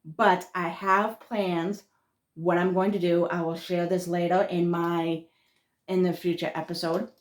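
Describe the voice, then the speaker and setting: A woman's voice: fairly low pitch, level tone, measured pace. A woman with a thick Massachusetts accent, chatting casually in a rambling vlog and often trailing off and restarting her words. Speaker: spiritual vlogger.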